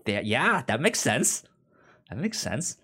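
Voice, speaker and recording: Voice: medium pitch, big pitch swings, slow, pushed and bright, husky. A podcast co-host casually discussing books in a hesitant, stammering way.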